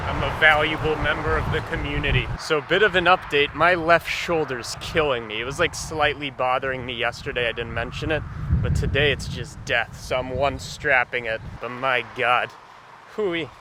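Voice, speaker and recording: A man's voice: medium pitch, steady tone, moderate pace, background noise. A young man with a congested voice talking casually to viewers while walking outdoors, with joking asides. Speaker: vlogger walking across a state. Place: outdoors by roadsides.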